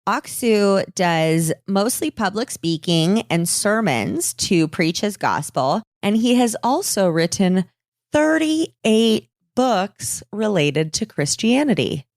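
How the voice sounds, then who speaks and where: A woman's voice: mid-range voice, animated, slow pace, no echo, studio quality. A woman co-hosting a podcast, telling a story in a casual, irreverent, sarcastic way and swearing freely. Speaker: podcast co-host.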